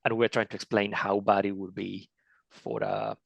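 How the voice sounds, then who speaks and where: A low, gravelly male voice with a flat, even delivery, recorded dry and close. He is an author talking casually in an interview, stumbling over restarts as he tells stories about his readers. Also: moderate pace, no room sound, muffled recording.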